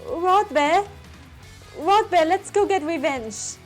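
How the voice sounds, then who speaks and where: A woman's voice: very high-pitched, slow pace, soft background music, pitched above the performer's own voice. A woman voicing a nervous, urgent young British man trying to sound cool and heroic in a story. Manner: stammering, urgent, faux-cool bravado.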